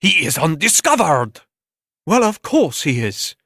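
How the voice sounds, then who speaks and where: A man voicing a pompous, excitable art critic in a dramatic story reading, exclaiming with overblown fervor. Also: a little high, unhurried, dry recording, studio quality, voice pushed up, heavily acted. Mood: excited.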